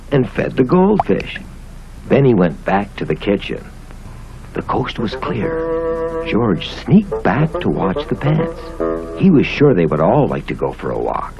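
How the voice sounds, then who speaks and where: An American man narrating a children's story in a theatrical, expressive way. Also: mid-range voice. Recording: muffled old cartoon soundtrack with music.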